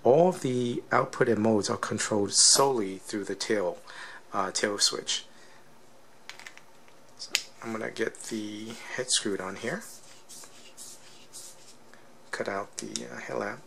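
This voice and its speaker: A man's voice: lowish voice, slow, vocal fry, pauses often, fairly bright tone, a little hiss or hum. A man giving a casual, unscripted technical demonstration as a product reviewer, explaining step by step and often checking in with a questioning tag.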